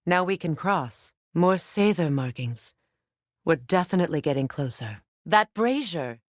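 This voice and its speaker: Video game warrior goddess: middle-aged, proud, grave and earnest, recorded dry. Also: female voice, deep voice, lively intonation, no echo. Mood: grave, measured. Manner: measured, formal, earnest. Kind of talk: dramatic game dialogue.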